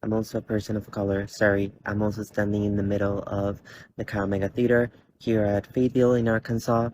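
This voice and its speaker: A young man in his twenties from Costa Rica gives an earnest, halting personal statement with many fillers and restarts, standing in a large indoor theater. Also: fairly low pitch, flat delivery, a little mellow, slight background noise. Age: 20s. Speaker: college student.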